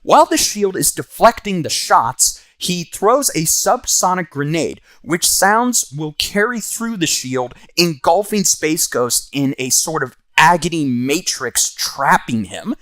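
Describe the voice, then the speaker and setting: A man's voice: a little high, animated, unhurried, few pauses, fairly bright tone, no echo. A man hosting and narrating a scripted comic book review.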